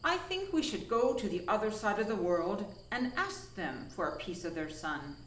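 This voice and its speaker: A woman voicing a weary, forceful male wolf who leads the animals in a storybook, speaking emphatically. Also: medium pitch, big pitch swings, moderate pace, echoey room, recorded from a distance, slight background noise, rough recording. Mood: fed up. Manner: emphatic, commanding.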